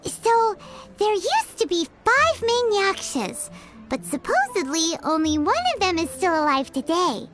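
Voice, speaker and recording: A very high, bouncy childlike voice a chirpy little floating fairy from a video game. She swoops up and down with lively, playful inflection and talks about herself in the third person. Cheerful game music plays clearly behind her. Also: a little slow, full character voice. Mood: thoughtful. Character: tiny floating fairy companion, chirpy and curious. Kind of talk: game dialogue.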